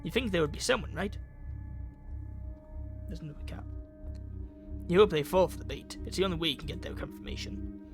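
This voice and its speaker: A man's voice: a little high, brisk, pauses often, music in the background. A man reading a visual novel game's narration and dialogue aloud, stumbling over lines and correcting himself.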